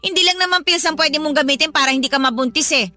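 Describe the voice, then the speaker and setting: A woman's voice: sits high, fast, bright, forceful tone, faint music behind. A woman playing a scornful, nagging middle-aged mother-in-law in a radio drama, sarcastic and accusing, with a Filipino accent. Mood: scolding.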